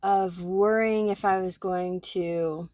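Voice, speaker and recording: A woman in her forties, co-hosting a podcast, talking through her own thoughts in an animated way and often checking in with "right?". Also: fairly low pitch, slow pace, no echo. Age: 40s. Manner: animated, self-reflective, fond of tag questions like "right?". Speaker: podcast co-host. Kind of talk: casual conversation.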